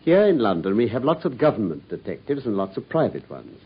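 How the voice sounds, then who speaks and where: An actor playing a brilliant, self-assured detective in a radio play, precise and lightly condescending, on a dull lo-fi broadcast recording. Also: male voice, lowish voice, very animated, a little fast, husky. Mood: explaining. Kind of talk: 1950s-style BBC radio drama acting.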